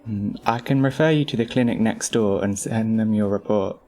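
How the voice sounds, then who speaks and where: A man playing an apologetic doctor in an amateur audio drama, speaking in a formal, measured way. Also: lowish voice, a little mellow, close to an everyday voice. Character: apologetic male doctor delivering bad news.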